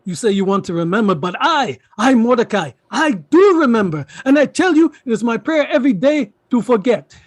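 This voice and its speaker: A man's voice: high voice, expressive, unhurried, darkish tone, dry recording, clean studio sound. A man acting in a play reading as a middle-aged uncle, pleading and repeating himself emphatically. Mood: pained, earnest. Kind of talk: theatrical stage play reading.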